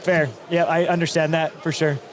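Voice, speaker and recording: A man hosting a hallway interview at a conference, joking, laughing and asking quick follow-up questions. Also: fairly high pitch, flat delivery. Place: outdoors at a conference venue.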